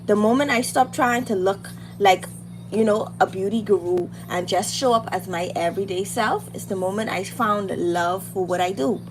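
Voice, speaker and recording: A woman's voice: medium pitch, normal speed. A woman with a Bahamian accent chatting casually and encouragingly as a livestream host, in informal speech that repeats phrases for emphasis. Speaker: livestream host, beauty and hair content creator. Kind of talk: casual chat while doing makeup, motivational girl talk. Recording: loud background noise on livestream.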